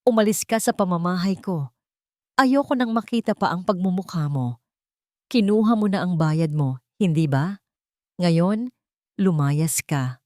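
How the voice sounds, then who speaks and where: A woman's voice: on the low side, unhurried, pauses often, warm tone, no echo, clean studio sound, close to an everyday voice. A woman voicing a cold, angry male CEO in an audio romance story, curt and commanding. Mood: furious.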